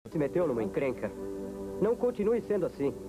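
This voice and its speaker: A man's voice: on the high side, big pitch swings, brisk, music in the background. An actor with a Brazilian accent playing a stern, practical, skeptical man in a dubbed telenovela. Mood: reproving. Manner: stern, challenging. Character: skeptical, practical man challenging a headstrong woman. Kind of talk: dubbed 1970s telenovela acting.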